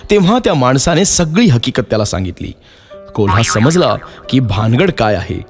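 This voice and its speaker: A man's voice: medium pitch, sing-song, moderate pace. A man narrating a scripted moral story for children as a storyteller. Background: background music.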